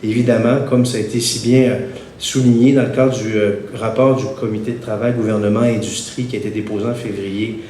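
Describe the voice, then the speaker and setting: A man's voice: level tone, mellow. A man with a Québécois French accent, slightly congested from a cold, giving a formal press statement with frequent hesitations. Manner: measured, many "euh" hesitations. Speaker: wind energy centre spokesman at a press conference. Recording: echoey room with faint rumble.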